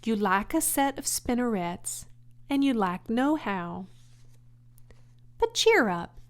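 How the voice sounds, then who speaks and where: A woman voicing a wise, kindly female spider in a children's storybook, matter-of-fact and articulate. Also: fairly high pitch. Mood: frank, then consoling.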